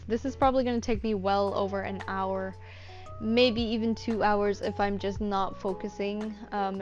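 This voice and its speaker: A woman with a fairly high voice talks through a tutorial in an informal, self-deprecating way, thinking out loud as she goes. The recording is slightly dull and lo-fi, with soft music underneath. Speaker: voice actor giving script-writing advice. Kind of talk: casual tutorial chat.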